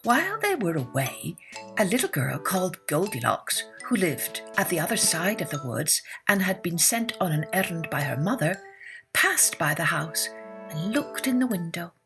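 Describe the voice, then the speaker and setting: Woman storyteller, children's fairytale narration, lively swooping pitch, creaky edges, dramatic emphasis, music behind. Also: normal speed. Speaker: storytelling podcast host.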